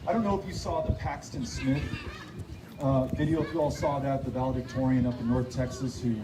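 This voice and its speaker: A man answering audience questions at a town hall, speaking off the cuff in an earnest, halting way with repeated words. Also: mid-range voice, fairly even intonation. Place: park pavilion, community meeting. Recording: roomy, distant live-stream sound, loud background noise.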